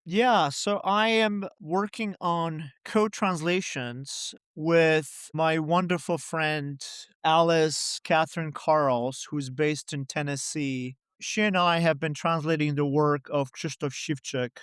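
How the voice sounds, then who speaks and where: A man's voice: on the high side, fairly even intonation, takes it slowly, hardly any pauses, a bit bright, clear, resonant tone, no echo, clean studio sound. A middle-aged man from Poland, a poet and translator, answering interview questions in a reflective way with many "you know" and "right?" asides.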